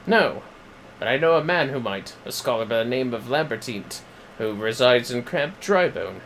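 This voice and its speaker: A man voicing an earnest, eloquent fantasy game strategist in a formal, measured, declamatory way. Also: a little high, a bit bright, a little hiss or hum, rough recording. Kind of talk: acted reading of fantasy game dialogue.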